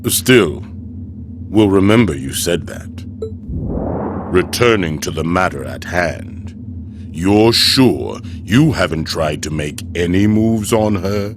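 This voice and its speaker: An actor playing a stern, protective male mercenary in a video game, speaking formally and deliberately with dry menace. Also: low voice, expressive, exaggerated voice. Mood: stern, suspicious. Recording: music behind the voice.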